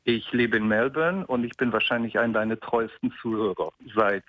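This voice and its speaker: A man in his fifties from Portugal calls in to a radio show over a dull, lo-fi phone line. He sounds cheerful and laughs often, losing his thread now and then. His voice sits at a middle pitch and sounds dry, with no room around it. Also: steady tone, normal speed, a bit bright, no room sound. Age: 50s. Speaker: caller.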